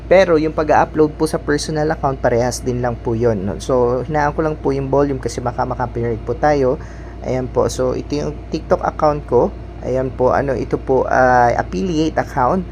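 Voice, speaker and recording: Guy doing a polite how-to for an app, average pitched voice, noisy background. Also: darkish tone. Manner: polite, instructional, repeats verbal tags. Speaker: tutorial host. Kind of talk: step-by-step app tutorial, informal. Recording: clearly noisy background hum.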